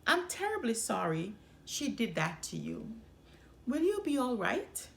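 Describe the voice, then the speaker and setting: A tender-hearted young fairy-tale princess, as a woman storyteller plays her. She sounds gentle and sympathetic, high in pitch and full of lively rises and falls. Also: measured pace, gravelly, full character voice. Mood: concerned. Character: sweet, kind younger princess, gentle and concerned. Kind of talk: storybook character voice.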